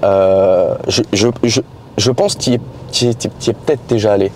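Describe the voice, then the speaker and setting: A man's voice: lowish voice, moderate pace, a little mellow, slight background noise. A man hosting a casual car conversation, chatty and slangy, teasing his guest. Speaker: host. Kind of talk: casual chat. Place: inside a car in city traffic.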